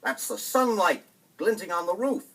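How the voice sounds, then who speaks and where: A man voicing a cruel storybook stepmother with a sharp, scolding, commanding manner. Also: high-pitched, expressive, normal speed, far from the mic, pitched above the performer's own voice, heavily acted, low-quality recording. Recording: vinyl storybook record with music behind.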